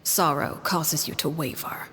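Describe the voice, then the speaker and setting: A woman playing a coldly composed, commanding head of a feared house in a video game, speaking in a measured, formal way. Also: deep voice, steady tone, background noise. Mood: cold, authoritative.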